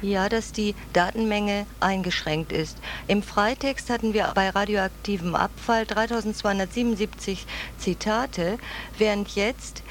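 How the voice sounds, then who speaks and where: A woman's voice: medium pitch, unhurried. Factual woman explaining, faint room noise. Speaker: database search specialist. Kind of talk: explanatory conversation on location.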